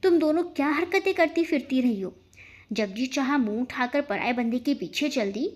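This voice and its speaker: A high-pitched, stern middle-aged aunt from an audio storybook, acted out by a woman narrator. She scolds with biting sarcasm and a sharp, no-nonsense temper. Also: animated, moderate pace. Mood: angry.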